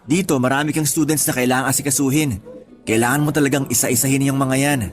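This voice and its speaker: A young male teacher played by a radio drama actor talks in a mid-pitched, casual voice. He is friendly and reassuring, teasing a little like a helpful colleague, over faint music. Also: fairly even intonation, fast talker, close to an everyday voice. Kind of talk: conversational Tagalog radio drama acting.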